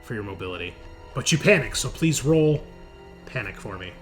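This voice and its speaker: A man's voice: on the low side, lively intonation, moderate pace. A man casually running a tabletop roleplaying game as game master, explaining rules in a conversational way. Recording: faint music behind the voice. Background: faint music.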